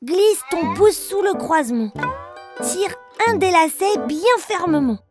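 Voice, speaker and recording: A very high, childlike voice for a proud little boy bear cub in a children's cartoon. He talks in simple, eager phrases at an unhurried pace, like a small child explaining step by step. Children's music plays clearly behind him. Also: expressive, exaggerated voice. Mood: chatty, instructive. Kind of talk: children's cartoon, instructive nursery narration.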